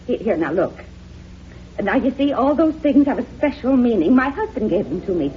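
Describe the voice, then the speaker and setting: High, gushing society lady, vintage radio. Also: very animated, normal speed. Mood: chatty, delighted. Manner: gushing, rambling, theatrical. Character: gushing, chatty divorced society woman, flighty and self-satisfied. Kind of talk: 1950s radio drama acting. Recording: dull, noisy vintage radio recording.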